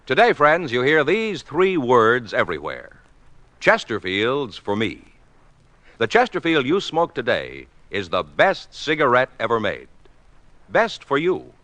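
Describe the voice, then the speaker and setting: A man's voice: mid-range voice, fairly bright tone, dry recording. A man with an American accent delivering emphatic, scripted announcements in 1950s radio style. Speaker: announcer and advertising pitchman. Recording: vintage 1950s radio recording.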